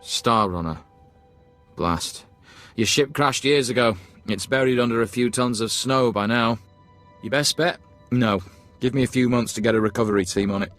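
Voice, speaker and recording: A man's voice: medium pitch, moderate pace, fairly bright tone, faint music behind, close to an everyday voice. Casting: obsequious, cautious imperial officer for a game, male actor, middle register, formal delivery. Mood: deferential, wary. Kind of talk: game voice acting.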